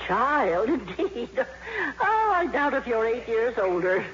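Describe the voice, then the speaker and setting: An actress playing a shrewd, kindly older grandmother, brisk and wry, in 1950s radio style. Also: a little high, big pitch swings. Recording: muffled old radio recording, clear noise.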